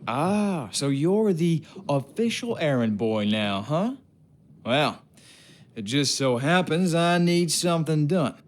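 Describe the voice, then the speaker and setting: An actor playing a gruff, sardonic ship's craftsman in a video game, taunting and bossy in a colloquial, condescending way. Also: a little high, animated, slow pace. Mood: mocking, taunting. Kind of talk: video game character acting.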